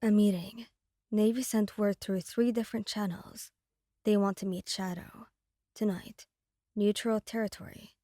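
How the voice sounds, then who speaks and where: A woman's voice: mid-range voice, fairly even intonation, measured pace, mellow, no room sound, studio quality, close to an everyday voice. A woman voicing a dutiful mafia second in command in an audio roleplay, reporting seriously to the boss.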